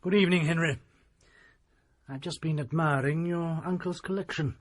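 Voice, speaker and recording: An actor playing a cool, superior family doctor in a radio drama, reproving and smooth. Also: male voice, fairly high pitch, steady tone, normal speed, natural voice. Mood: condescending. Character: cool condescending family physician.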